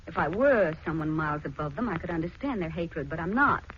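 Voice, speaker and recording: An actress playing a sensitive, cultured married aunt who speaks earnestly and articulately in a 1940s radio drama. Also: female voice, low voice, expressive, a little fast. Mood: hurt, earnest. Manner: earnest, articulate, impassioned phrasing. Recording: dull, noisy 1940s radio recording.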